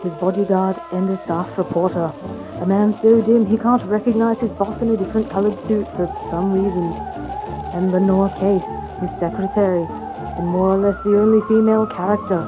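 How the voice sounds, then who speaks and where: A woman's voice: mid-range voice, steady tone, normal speed. A woman giving a wry, tongue-in-cheek scripted summary narration. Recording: tinny band-limited voice under music.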